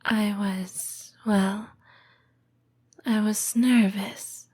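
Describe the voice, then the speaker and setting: Breathy, very slow, whispering lamia girlfriend played by a woman. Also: mid-range voice, steady tone, darkish tone. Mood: wistful, hurt. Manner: slow, hesitant, intimate delivery with long pauses. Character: tender, lonely lamia snake-woman girlfriend. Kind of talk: soft-spoken ASMR roleplay.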